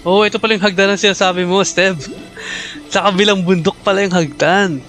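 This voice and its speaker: A man's voice: high-pitched, fairly even intonation, fast talker, fairly bright tone. A man with a Filipino accent, a native Tagalog speaker, giving casual, chatty livestream commentary on a game. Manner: chatty, switches between Tagalog and English. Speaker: game streamer. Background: game music.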